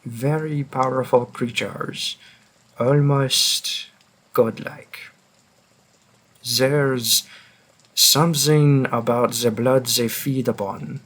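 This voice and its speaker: A man voicing a centuries-old vampire who hunts his own kind, grim and deliberate, in a scripted roleplay monologue addressed to the listener. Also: mid-range voice, fairly even intonation, fairly bright tone, low-quality recording. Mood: somber, reflective. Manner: slow, deliberate, pausing, darkly confiding.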